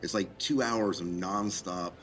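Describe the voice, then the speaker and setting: A man's voice: sits low, steady tone, a little slow, fairly bright tone, faint music behind. A man hosting a podcast, chatting casually and putting questions to his guest. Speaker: podcast host and interviewer.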